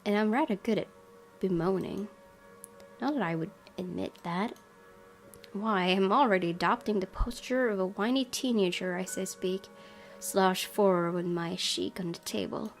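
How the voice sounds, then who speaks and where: A woman narrates a visual novel in the first person, reading from the script. Her pitch is average for a woman, and music plays clearly behind her. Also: moderate pace. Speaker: gaming video host reading a visual novel aloud.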